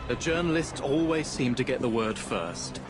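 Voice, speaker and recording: Actor as Victorian male police inspector, English accent, grave, weary, formal, deliberate, game cutscene, loud music. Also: mid-range voice, expressive, lightly acted. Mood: weary, bitter. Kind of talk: video game drama acting. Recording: loud game music and street sounds behind.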